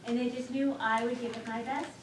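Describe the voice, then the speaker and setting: A woman's voice: on the high side, level tone, fairly quick, lightly acted. A woman on stage playing a proud, chatty, self-important wife in a comedy, gushing and self-satisfied. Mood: smug, boastful. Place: theater stage, recorded from afar. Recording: echoey theater, distant mic, noisy.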